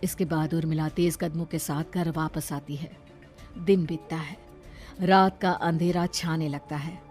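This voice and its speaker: Female narrator, lowish voice, scripted horror storytelling, faint background music. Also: steady tone, unhurried, a little mellow.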